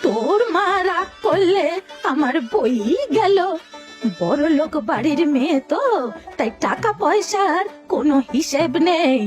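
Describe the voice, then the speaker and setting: Shrill, stingy old grandmother. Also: expressive, a little slow, faint music behind. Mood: grumbling. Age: older. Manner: sharp-tongued, scolding, sarcastic. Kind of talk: Bengali cartoon voice acting.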